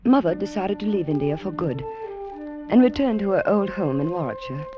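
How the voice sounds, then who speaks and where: An actress playing a genteel, gently firm middle-aged British mother in a refined, measured, soft-spoken manner, in 1940s radio drama style. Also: fairly low pitch, expressive, music in the background, lightly acted. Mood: hesitant, wistful. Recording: muffled vintage radio recording.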